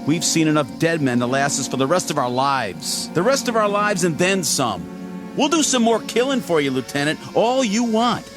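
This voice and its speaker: An actor playing a bitter, outspoken enlisted soldier worn out by war, blunt and impassioned, in a radio drama. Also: male voice, a little high, very animated, moderate pace. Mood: bitter, defiant. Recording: radio drama with music underneath.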